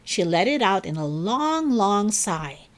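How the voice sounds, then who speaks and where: A woman reading a children's story aloud in an expressive, dramatic and deliberate narrating style. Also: medium pitch. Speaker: storybook reader.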